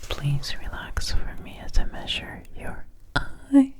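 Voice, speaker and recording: A woman doing soft-spoken ASMR roleplay, murmuring and whispering up close into a binaural microphone. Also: a little slow. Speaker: ASMR creator acting out a personal attention measuring roleplay. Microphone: close binaural microphone, ear to ear.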